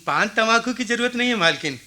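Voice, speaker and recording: A man's voice: extremely high pitch, expressive, fairly quick, bright, forceful tone, exaggerated voice. A man playing a humble, deferential, poor village oil-seller in a radio play. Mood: humble, obliging. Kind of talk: Hindi radio play acting.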